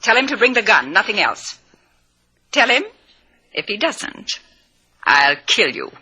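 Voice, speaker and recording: A woman's voice: medium pitch, big pitch swings, unhurried, sharp tone, exaggerated voice. A woman playing a sweet-talking, ruthless tourist murderess in an old radio drama, with a genteel, coy and menacing manner. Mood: coolly menacing. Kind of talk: 1940s-50s radio drama acting.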